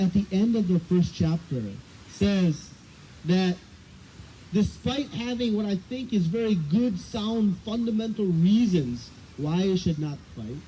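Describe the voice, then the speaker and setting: A man's voice: sits high, rough recording. A man giving a scripture class outdoors on a battery microphone, talking conversationally and asking his listeners questions. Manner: conversational, asks the audience questions. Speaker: spiritual teacher. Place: outdoors, windy. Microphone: battery microphone, cutting in and out. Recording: wind and outdoor noise, loud.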